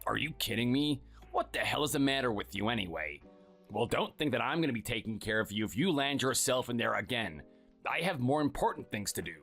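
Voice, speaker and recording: A performer voicing a cold, contemptuous workaholic husband who talks curtly and dismissively. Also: male voice, medium pitch, animated, fairly quick, creaky, fairly bright tone, faint music behind. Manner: curt, scornful, dismissive.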